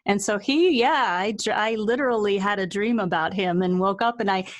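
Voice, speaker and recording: A woman's voice: mid-range voice, normal speed. Chatty woman hosting a casual interview. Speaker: interview host and author.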